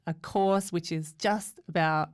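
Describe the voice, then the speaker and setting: A woman with a low-set, smooth, resonant voice explains teaching ideas in a relaxed, thoughtful way. She talks through her thinking as she goes. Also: a little slow. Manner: thoughtful, explanatory, laughs often. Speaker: English teacher. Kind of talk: casual podcast chat.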